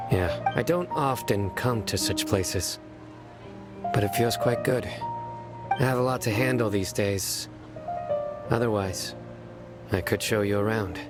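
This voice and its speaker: A man playing a stern, dutiful young officer in a video game, speaking in a reserved, measured way. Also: mid-range voice, natural voice.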